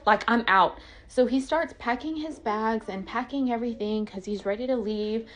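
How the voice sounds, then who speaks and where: A woman's voice: a little high, normal speed, fairly bright tone. Emotional, venting woman telling personal stories. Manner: emotional, venting, says "like" constantly; passionate, emphatic. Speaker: online content creator. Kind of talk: candid personal storytelling.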